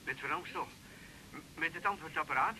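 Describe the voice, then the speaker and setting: A man's voice: on the high side, fairly quick, pushed and bright. An actor playing a drunk, insistent male patient speaking through a telephone effect in a radio drama. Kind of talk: 1980s Dutch radio drama acting. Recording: thin, noisy telephone sound.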